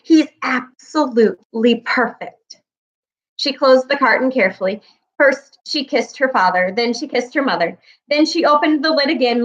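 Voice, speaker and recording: A woman with a fairly high voice does a children's read-aloud. She presents and narrates a storybook. The recording is slightly dull and lo-fi. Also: a bit bright.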